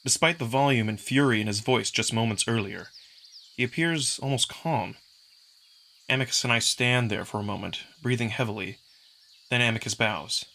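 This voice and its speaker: A man's voice: mid-range voice, normal speed, gravelly, pushed and bright. A man narrating a visual novel in the first person as a dramatic story reading. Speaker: narrator and voice performer.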